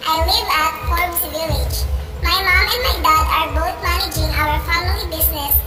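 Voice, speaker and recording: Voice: very high voice, moderate pace. A young performer with a Filipino accent voicing a college student in an amateur puppet show. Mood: chatty. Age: young adult. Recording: echoey, distant voices under clear music.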